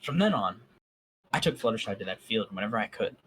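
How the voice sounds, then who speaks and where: A man's voice: lowish voice, animated, fast, a bit bright. A man reading a fan story aloud on a voice call and breaking off for sarcastic, mocking asides. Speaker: fan fiction reader.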